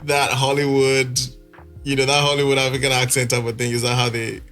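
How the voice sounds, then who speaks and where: Fast-talking male podcast host. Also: mid-range voice, completely flat delivery, a bit bright, faint music behind. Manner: quick, curious follow-up questions. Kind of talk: casual interview.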